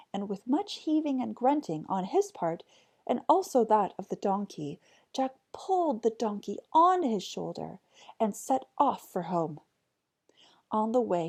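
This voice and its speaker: A woman narrates a folk tale at a slow, measured pace. Her voice is soft-edged and husky, with a lively, rising and falling melody. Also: medium pitch. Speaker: storyteller.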